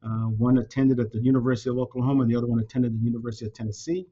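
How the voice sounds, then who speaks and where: Halting man with a dark, dull sound. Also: mid-range voice, fairly even intonation. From California. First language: Spanish. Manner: halting, many restarts and fillers. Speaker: company president, guest. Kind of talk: casual interview.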